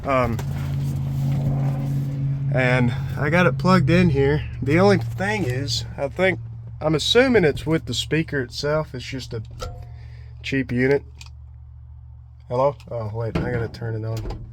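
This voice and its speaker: Male DIY vlogger, mid-pitched, offhand and unscripted, talking through hands-on work with some background noise. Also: measured pace, long pauses. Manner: unscripted, thinking aloud. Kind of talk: casual hands-on walkthrough. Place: in and around a pickup truck.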